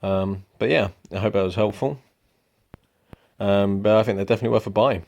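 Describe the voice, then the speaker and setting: A young man giving a relaxed, rambling product review at home, with lots of ums. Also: on the low side, fairly quick, vocal fry. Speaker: motorcycle vlogger. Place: indoors at home.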